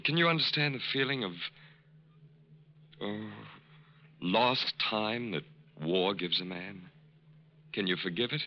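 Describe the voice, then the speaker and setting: An actor in an old radio drama playing a smooth con artist posing as a lovesick army major, halting and pleading. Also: male voice, deep voice. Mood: ardent, pleading. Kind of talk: mid-century American radio drama acting. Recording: muffled, noisy old radio recording.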